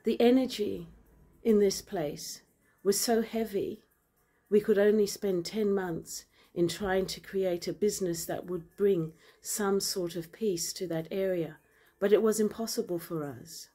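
A woman raised in Zambia speaks straight into her phone, held at arm's length, in a light-filled room full of plants. Her voice is middle-pitched. Her delivery is earnest and deliberate, with a firm edge of conviction. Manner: earnest, measured, grows forceful and indignant. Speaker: vlogger and author. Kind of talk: impassioned personal address to camera. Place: indoor room with large windows and plants. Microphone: phone camera at arm's length.

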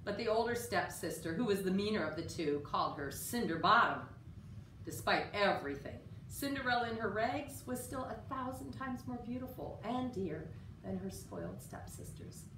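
A middle-aged woman reading a children's picture book aloud in an expressive, measured storytelling style. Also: mid-range voice. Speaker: storyteller at a library. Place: indoor room with story sign. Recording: roomy, distant mic, faint room noise.

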